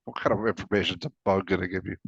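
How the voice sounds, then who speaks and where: A man's voice: low voice, steady tone, very fast, gravelly, slightly rough, dry recording. A man co-hosting a casual two-person discussion, recorded with a dull, dry sound. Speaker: co-host.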